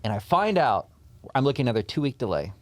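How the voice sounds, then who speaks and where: A man's voice: medium pitch, sing-song, fast. A man speaking as a podcast guest in casual conversation. He talks earnestly and tells anecdotes.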